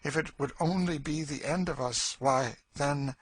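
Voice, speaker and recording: A man's voice: fairly high pitch, unhurried, husky, voice pushed up. A man voicing a bitter, despairing, world-weary enslaved woman in an audiobook, dry and emphatic in manner. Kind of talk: audiobook character acting.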